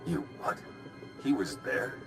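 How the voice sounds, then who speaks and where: An actor playing an earnest, skeptical agent in a science fiction game cutscene. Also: male voice, mid-range voice, very animated, takes it slowly, over music. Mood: serious. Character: earnest, skeptical male agent in a space war. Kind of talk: video game cutscene acting.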